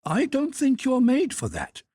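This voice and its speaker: Storybook role: a pressing, kindly head cook of fifty, voiced by a man, husky, high and animated. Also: a little slow, no room sound, clean studio sound, full character voice. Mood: coaxing. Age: 50s. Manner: effusive, pressing, motherly. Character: eager fifty-year-old woman, a hotel head cook.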